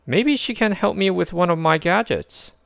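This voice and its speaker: A man's voice: high-pitched, animated, moderate pace, vocal fry, fairly bright tone, no echo, voice pushed up, exaggerated voice. A man voicing a small, wisecracking, impatient raccoon with a sarcastic, punchy delivery in a storybook. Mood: smirking.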